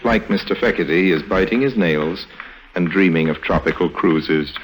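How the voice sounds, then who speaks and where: An actor playing a wry, worldly, self-assured con artist in old radio drama, telling the tale with unhurried irony. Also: male voice, deep voice, a little hiss or hum, lightly acted, poor audio. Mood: sly, ironic. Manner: wry, ironic, unhurried storytelling. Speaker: lead actor and narrator. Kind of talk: 1950s radio drama narration and dialogue. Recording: old radio recording with music behind.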